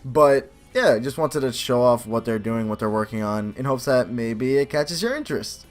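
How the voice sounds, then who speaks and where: A man's voice: mid-range voice, normal speed. A young man hosting a let's play, commenting casually in a rambling, self-deprecating way. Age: young adult. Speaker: gaming video host. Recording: faint game music behind voice. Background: game music.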